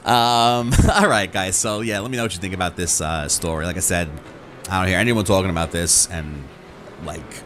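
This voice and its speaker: Deep-voiced man chatting casually. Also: a bit bright, a little hiss or hum. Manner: rambling, halting, repeats words and trails off. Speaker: YouTube gaming channel host. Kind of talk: casual, unscripted chat to viewers.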